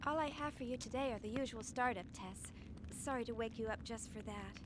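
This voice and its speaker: A woman's voice: a little high, a little fast, noisy background, natural voice. A woman voicing a young female chief engineer who gives short, decisive orders in a game cutscene. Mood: gentle. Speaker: game character. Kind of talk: dubbed game cutscene acting.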